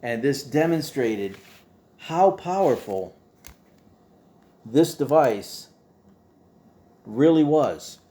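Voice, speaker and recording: A man's voice: mid-range voice, animated, extremely slow, long pauses, mellow. A man hosting a show in a casual, opinionated monologue, rambling conversationally with emphatic rhetorical asides. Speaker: host of a show about old radio.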